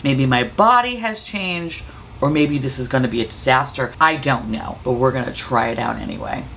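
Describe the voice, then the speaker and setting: A young woman with a slightly raspy voice from a cold, chatting playfully and profanely while trying on makeup at home. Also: deep voice, fairly bright tone, a little hiss or hum. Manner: playful, profane, self-mocking. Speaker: beauty vlogger. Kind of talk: casual makeup vlog chat.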